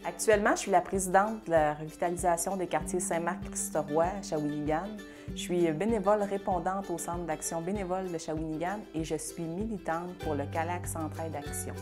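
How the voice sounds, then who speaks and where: A woman's voice: fairly low pitch, fairly quick. Earnest Québécois woman in her thirties. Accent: Québécois French. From Quebec. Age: 30s. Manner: earnest, heartfelt, with frequent fillers. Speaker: award honoree. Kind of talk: prepared testimonial. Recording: music bed behind the voice.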